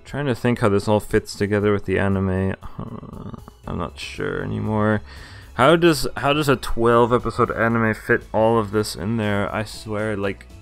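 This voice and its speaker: A man's voice: lowish voice, fairly even intonation, normal speed, vocal fry, smooth, faint music behind. A young man streaming a visual novel, reading the narration aloud and cutting in with wry, exasperated asides. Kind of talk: casual reading with commentary.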